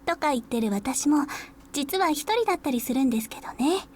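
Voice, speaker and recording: A gentle young woman from a visual novel, with a high voice. She speaks softly and intimately, playfully polite, over faint background noise. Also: lively intonation, very fast. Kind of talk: Japanese visual novel voice acting.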